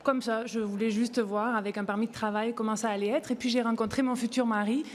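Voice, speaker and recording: A woman in her forties with a French accent gives a calm, measured testimony. Her voice sits a little high and rings with a long hall reverb. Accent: French (France). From France. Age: 40s. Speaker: press conference speaker. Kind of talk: personal testimony at a press conference.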